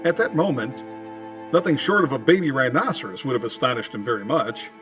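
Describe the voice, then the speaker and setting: Lively, swooping male storyteller. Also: mid-range voice, a little fast, over music. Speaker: narrator. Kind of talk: dramatic storybook narration for old-time radio.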